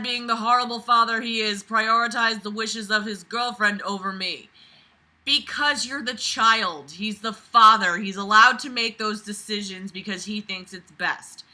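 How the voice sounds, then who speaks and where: Theatrical woman with a bright, forceful voice. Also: medium pitch, low-quality recording. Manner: theatrical, incredulous, sweary; animated outbursts and sarcastic asides. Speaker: host of a reading-and-reacting video. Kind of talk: reading a story aloud with exasperated running commentary.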